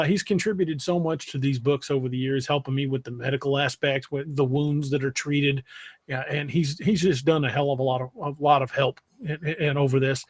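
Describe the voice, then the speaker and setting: A man hosting a podcast in casual livestream chat, folksy and a bit halting, often repeating words as he thinks. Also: a little high. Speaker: podcast host.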